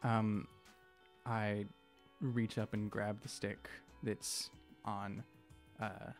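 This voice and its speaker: A man's voice: on the low side, little pitch movement, drawn-out words, soft background music. A young man playing a tabletop game, talking hesitantly with many fillers, on a studio mic. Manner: hesitant, many ums and uhs. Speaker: player. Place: studio set with a desk. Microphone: studio mic on a stand.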